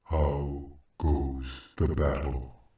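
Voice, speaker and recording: A huge armored knight speaks from far off in a dark, muffled tone. He is grave and loyal, choosing formal words ponderously, with strong echo around every one, as in a fantasy audiobook. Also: little pitch movement, very slow, a little hiss or hum, full character voice. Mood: grave, measured. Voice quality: deep, booming inside armor. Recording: heavily echoing, distant-sounding voice effect.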